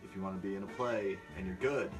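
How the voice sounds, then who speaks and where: A man's voice: low voice, fast talker. A man hosting a casual, chatty interview in a laid-back, joking way. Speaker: host interviewer. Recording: distant mic with music behind.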